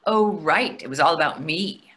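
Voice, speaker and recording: A woman's voice: sits low, lively intonation, normal speed, fairly bright tone. An older woman playing a hungry, nagging wife who questions everything in a staged comedy reading over a video call. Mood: irritated. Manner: querulous, questioning. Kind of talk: readers theatre comedy acting. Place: indoor room on a video call. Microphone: video call microphone.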